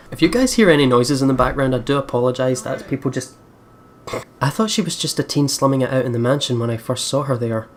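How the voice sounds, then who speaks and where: A man hosting a let's play, reading a visual novel aloud and adding chatty, playful asides to viewers. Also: mid-range voice.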